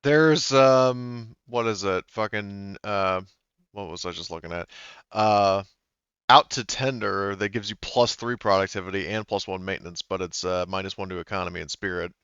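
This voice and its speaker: A man running a tabletop game in casual chat, his voice low, smooth and resonant. He hesitates and fills gaps with ums while working out rulings. The recording is dry and a little dull, as if from a modest mic. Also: normal speed, a bit bright, no echo. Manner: hesitant, filler-heavy, swears casually. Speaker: game master.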